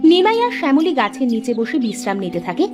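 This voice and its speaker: A woman's voice: sits high, big pitch swings, fast talker, over music. A woman giving scripted narration for a children's fairy tale.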